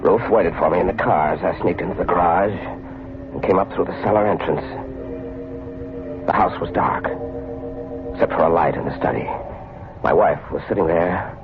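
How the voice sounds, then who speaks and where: An actor playing a desperate, paranoid fugitive killer in an intense, agitated, melodramatic vintage radio suspense style. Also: male voice, deep voice, normal speed, pauses often. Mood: tense narrating. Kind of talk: 1940s radio suspense acting, first-person narration. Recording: noisy, tinny old radio drama recording.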